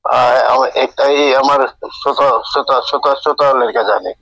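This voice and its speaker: A man answering a casual phone call in a halting, agreeable way, often repeating his words. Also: mid-range voice, creaky, fairly bright tone.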